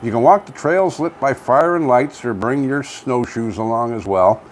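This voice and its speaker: A man's voice: medium pitch, normal speed, a little hiss or hum. A man co-hosting a local online radio show from a home studio, casually sharing community news in a blunt, informal way.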